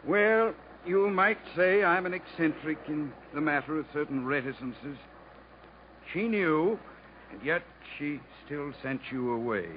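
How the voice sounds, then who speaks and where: A muffled vintage radio recording with faint noise carries a worldly riverboat captain. He is shrewd, wry and measured, in fifties radio drama style. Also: a little high, lively intonation. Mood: wry, advising. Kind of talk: 1950s radio drama acting. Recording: dull, faintly noisy old radio recording.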